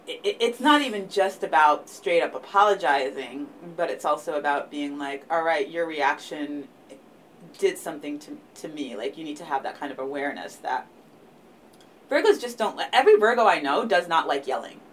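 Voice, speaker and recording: A woman co-hosting a casual chat about astrology signs, thoughtful, trailing off softly and dropping playful quoted asides. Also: sits low, expressive, normal speed, far from the mic, slight background noise, low-quality recording.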